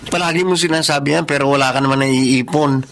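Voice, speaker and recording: An actress playing a chatty, teasing but supportive best friend in a radio drama, slangy and exclamatory. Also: female voice, very deep voice, fairly even intonation, fast talker, a little hiss or hum. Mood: teasing, reproachful. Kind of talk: Tagalog radio drama acting.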